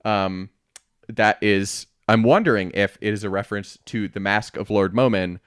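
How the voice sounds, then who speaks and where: Low-pitched man, dry close sound. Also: normal speed, a bit bright, clean tone, no echo. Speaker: podcast host. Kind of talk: casual book discussion podcast.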